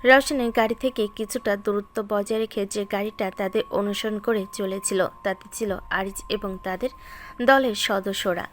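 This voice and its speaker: A woman's voice: mid-range voice, fairly even intonation, normal speed, slight background noise. A woman reading scripted story narration as the narrator, with music playing underneath.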